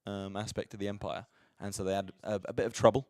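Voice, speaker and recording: A man's voice: fairly low pitch, fairly even intonation, quick pace, a little mellow. An English man co-commentating a live strategy game stream, explaining things plainly and dropping wry jokes. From southern England. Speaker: livestream co-commentator.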